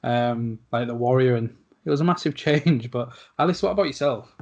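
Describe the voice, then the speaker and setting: A man's voice: medium pitch, a little fast, vocal fry, a little mellow, lo-fi sound. A man hosting a casual podcast interview, chatty and jokey, with repeated "like".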